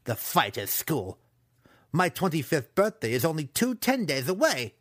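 Fantasy audiobook role for a male reader: young dark elf student, urgent, scheming, raised pitch with wide swings. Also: big pitch swings, normal speed, no room sound, studio quality. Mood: indignant. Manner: earnest, urgent.

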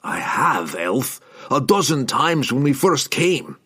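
A man voicing a gruff, stubborn male dwarf in a storybook reading, with a growling delivery. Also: medium pitch, very animated, takes it slowly, fairly bright tone, voice pushed up, full character voice.

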